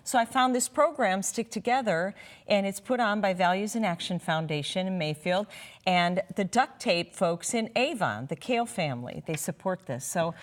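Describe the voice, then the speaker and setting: A woman's voice: medium pitch, lively intonation, normal speed. A woman speaking as an enthusiastic, emphatic guest in a conversational television studio interview. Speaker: interview guest, children's author and anti-bullying ambassador.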